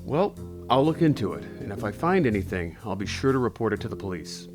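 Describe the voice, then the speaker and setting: A man's voice: lowish voice, sing-song, brisk, music in the background. A man acting an earnest, polite superhero with a mild-mannered alter ego in a radio play. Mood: awkward, polite.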